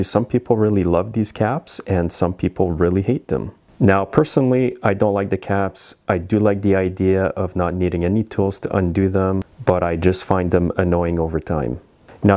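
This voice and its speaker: A man's voice: sits low, darkish tone. A man in his forties, a small engine mechanic, giving a plain, practical repair tutorial in his shop. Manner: plain, practical, matter-of-fact explaining.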